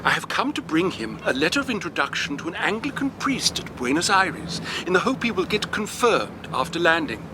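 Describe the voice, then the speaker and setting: A nosy, pompous clergyman in a radio drama, his highish voice swooping theatrically. His speech is formal and moralising, set against clear outdoor noise. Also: sing-song, a little fast, few pauses. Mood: coy, inquisitive. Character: prim, moralising English clergyman, effusive and nosy.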